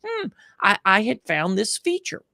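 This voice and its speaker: A man with a high voice for a man, telling stories as an interview guest with emphatic, animated delivery and frequent restarts. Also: unhurried, vocal fry, no echo, studio quality. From United States. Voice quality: higher-pitched male voice. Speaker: podcast guest. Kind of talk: enthusiastic interview storytelling.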